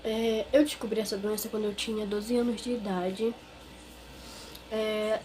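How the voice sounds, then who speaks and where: A woman's voice: medium pitch, steady tone, a little slow, dark tone, slight background noise, rough recording. A young woman from Brazil with a Brazilian Portuguese accent, telling a personal story to her viewers in a chatty, rambling way full of fillers. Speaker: vlogger. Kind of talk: casual personal storytelling to camera. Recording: clear background music under the voice.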